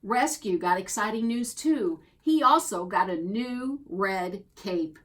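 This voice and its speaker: A woman reading a children's picture book aloud as a storyteller, expressively and deliberately, drawing out key words. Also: medium pitch, expressive. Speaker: storybook reader.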